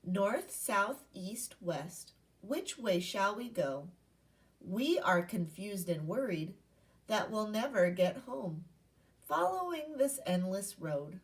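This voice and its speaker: A woman's voice: medium pitch, sing-song, slow pace, recorded from a distance, low-quality recording. A woman teacher giving a read-aloud lesson to young children, speaking in simple instructional phrases and asking questions. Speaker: primary school teacher.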